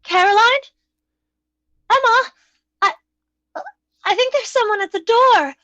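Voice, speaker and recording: A woman's voice: very high voice, takes it slowly, sharp tone, no room sound, muffled recording, full character voice. A woman playing a timid, fearful, trembling younger sister in an old-time radio drama. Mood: frightened.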